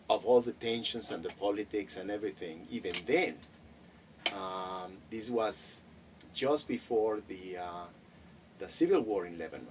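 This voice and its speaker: Middle-aged man, Spanish first language, low voice heard from across the room, thoughtful and full of restarts. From Mexico. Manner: reflective, many hesitations and restarts. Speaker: interviewee. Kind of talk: casual interview. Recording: distant mic with faint noise.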